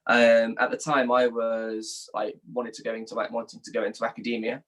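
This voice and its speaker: A young man from England with a mid-pitched voice speaks as an interviewed journalist. He answers informally and thoughtfully, feeling his way through each point with plenty of hedging. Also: completely flat delivery, fairly quick, a bit bright. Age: young adult.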